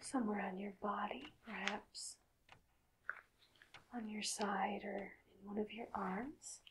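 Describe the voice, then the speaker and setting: A woman speaking in a soft, breathy whisper, slow and soothing, as a calm doctor in an ASMR roleplay. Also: medium pitch, noisy background. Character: calm hypnotist doctor addressing a robot patient.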